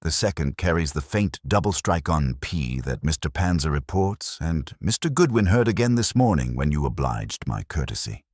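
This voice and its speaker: A man's voice: very deep voice, very animated, moderate pace, few pauses, breathy edge, dry recording, clean studio sound, heavily acted. A man voicing an imperious, exacting master detective in an audiobook, formal, pedantic and coldly accusing.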